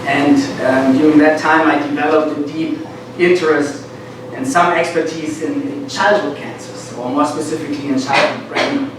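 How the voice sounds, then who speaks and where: A man's voice: on the high side, fairly even intonation, moderate pace. German-accented man lecturing in very echoey room. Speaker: guest lecturer, medical school professor. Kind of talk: informal academic talk to students. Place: lecture classroom, indoors. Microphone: far camera at back of room. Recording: distant, very echoey, noisy room recording.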